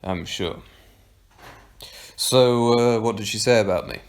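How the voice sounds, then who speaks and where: A man's voice: on the low side, slow pace, creaky. A man playing an awkward, defensive young man in an audio drama, hesitant and stammering, with dry sarcasm. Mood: sarcastic, defensive.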